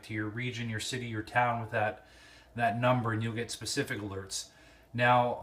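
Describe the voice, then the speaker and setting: A man's voice: medium pitch, fairly bright tone. A man giving an informal, slightly rambling hands-on product review, recorded indoors on a handheld phone. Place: indoor room at home. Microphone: handheld cell phone.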